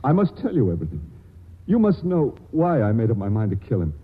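An actor playing a feverish, lovesick young male scientist in a 1940s radio drama, confessing in a halting, intense way. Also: medium pitch, wildly swooping pitch, husky. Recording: tinny old radio broadcast.

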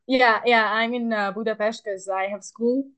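Young woman, about 20, upbeat interview guest on a remote line, slightly high voice, lots of pauses and self-corrections. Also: steady tone, a bit bright. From Hungary. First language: Hungarian. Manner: hesitant, many fillers and restarts, upbeat. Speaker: athlete guest. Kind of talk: casual interview chat.

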